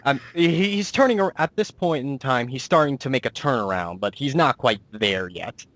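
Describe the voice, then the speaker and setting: A man's voice: mid-range voice, expressive, moderate pace, a bit bright, dry recording. A man hosting a casual interview as a writer and producer, talking in a rambling, self-deprecating way.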